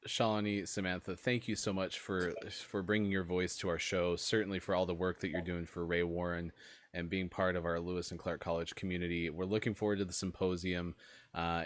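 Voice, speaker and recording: A man hosting a live web show, thanking guests and making announcements in an upbeat, friendly way with frequent uhs. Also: deep voice. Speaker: host.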